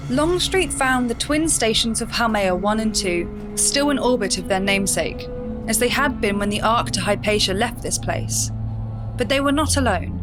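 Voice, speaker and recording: A woman reading scripted, dramatic narration for a sci-fi audio drama, with music underneath. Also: on the high side. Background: cinematic music.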